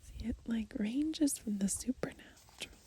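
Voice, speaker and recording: A woman softly murmuring ASMR commentary as she browses a book, breathy and gentle, outdoors. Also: a little high, measured pace. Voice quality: breathy, whispery. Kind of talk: ASMR, soft murmured commentary and title reading. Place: outdoors on grass.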